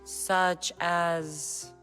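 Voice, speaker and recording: A woman's voice: fairly low pitch, little pitch movement, drawn-out words, sharp tone, faint music behind, full character voice. An actress playing a tough female mercenary warrior in a game cutscene, blunt and dry in manner. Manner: dry, blunt, matter-of-fact. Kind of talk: video game cutscene acting.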